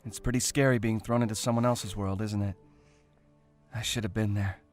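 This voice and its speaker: A man playing a gentle, apologetic character in a fan audio drama, talking softly and reassuringly as if to a big animal friend. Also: on the low side, steady tone, moderate pace, faint music behind, close to an everyday voice.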